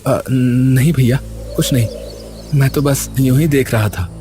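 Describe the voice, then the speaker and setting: A man playing a humble, honest young village cook in an animated story, speaking hesitantly and deferentially. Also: mid-range voice, measured pace, faint music behind, natural voice. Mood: curious. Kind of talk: Hindi animated story dialogue.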